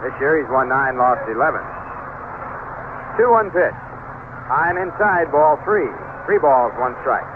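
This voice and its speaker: A man's voice: medium pitch, animated, a little slow, pauses often. A man with an American accent calling baseball play-by-play in the style of 1970s radio. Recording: noisy, tinny old AM radio broadcast.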